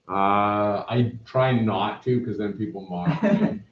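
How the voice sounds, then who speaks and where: A middle-aged man from Canada rambles through a casual aside to his class, his voice a touch low. He sounds roomy and dull, picked up from across the classroom by a camera. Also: takes it slowly. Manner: rambling, self-deprecating, chatty. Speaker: math teacher. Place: classroom with whiteboard. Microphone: camera across the room.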